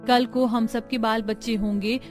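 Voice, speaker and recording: Woman doing practical young village bahu in a cartoon, earnest naggy talk, soft music under. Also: on the high side, steady tone, normal speed, close to an everyday voice. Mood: nagging, annoyed. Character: practical, outspoken young village daughters-in-law. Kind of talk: Hindi cartoon story dialogue.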